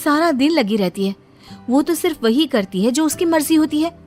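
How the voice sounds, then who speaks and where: A woman's voice: high-pitched, expressive, moderate pace, a little mellow, soft background music. A woman voicing a meddling grown daughter in a moral story, needling and goading her mother insistently. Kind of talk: Hindi moral story acting.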